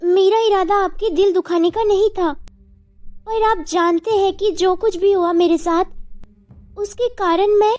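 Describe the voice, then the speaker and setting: A woman voicing a dutiful, gentle but firm young office worker in a Hindi animated melodrama. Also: very high voice, a little slow. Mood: earnest, restrained. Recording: music bed behind the voice.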